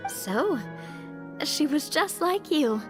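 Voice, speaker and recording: High, playful, unhurried young adventurer. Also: female voice, big pitch swings, full character voice. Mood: warm. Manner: playful, theatrical. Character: cheerful, proud young female adventurer playing along. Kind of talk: video game voice acting. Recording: music under the voice.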